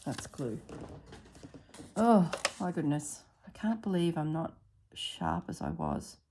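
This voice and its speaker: A woman with a slightly husky voice narrating a casual craft tutorial at her table, thinking aloud as she works. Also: sits low, very animated, warm tone, a little hiss or hum. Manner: unhurried, thinks aloud while working. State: recovering from illness, slightly husky throat. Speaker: craft vlogger.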